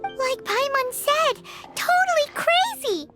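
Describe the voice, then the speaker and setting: Tiny fairy sidekick, extremely high squeaky childlike voice, swooping intonation, excitable, blunt, video game acting. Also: female voice, slow pace, faint music behind, heavily acted. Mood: uneasy, exasperated. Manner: speaks of herself in third person, exclamatory.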